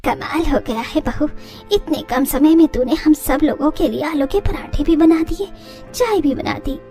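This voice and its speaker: A woman's voice: sits high, a little slow, mellow, soft background music. A woman voicing a kindly, helpful older woman of the family in a cartoon story. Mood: amazed.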